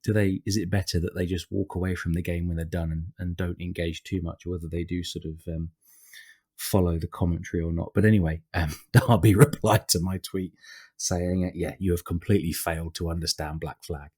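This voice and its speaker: A British man hosting a podcast, his voice croaky from a cold, rambling and laughing often between fillers. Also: low-pitched, fairly even intonation, a little fast, warm tone. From Britain. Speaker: podcast host. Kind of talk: casual podcast chat.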